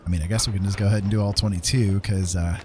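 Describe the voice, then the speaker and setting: A man's voice: low-pitched, steady tone, racing, background noise. A man giving casual live gameplay commentary, half talking to himself as he plays. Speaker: game streamer.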